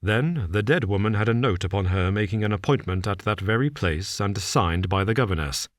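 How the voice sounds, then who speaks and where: A man reads a calm, shrewd detective, voice dropped a little below his own low register. He lays out facts slowly and deliberately, with a dry, understated edge. The recording is close and dry. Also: dry recording, natural voice. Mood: measured. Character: cool, shrewd male detective, measured and dry.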